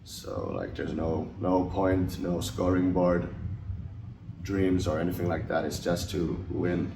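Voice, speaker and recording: Deep gravelly young man, roomy recording. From Finland. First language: English and Finnish. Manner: relaxed, hesitant, many fillers and restarts. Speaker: ice hockey forward, interviewee. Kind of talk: casual interview answers. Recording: roomy, distant mic, noisy.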